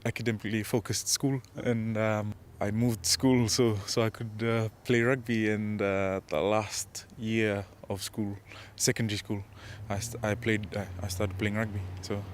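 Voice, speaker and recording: A man in his twenties with a Fijian accent answers interview questions as a rugby player, in a casual, modest and halting way. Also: fairly low pitch, level tone. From Fiji. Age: 20s. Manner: hesitant, modest, halting delivery.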